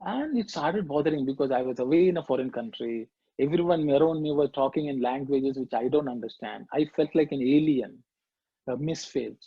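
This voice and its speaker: A middle-aged man with a Sri Lankan accent, recounting his past in a reflective, halting way as a guest speaker. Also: medium pitch, slightly rough, no room sound. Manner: reflective, halting with fillers and restarts. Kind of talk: personal testimony talk. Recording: muffled lo-fi dry audio.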